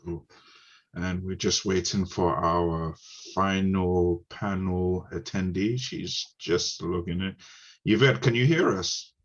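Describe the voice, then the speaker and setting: Low-voiced Ghanaian-accented man moderating, dull audio. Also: steady tone, slow. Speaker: panel moderator. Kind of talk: informal panel moderating. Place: online video panel.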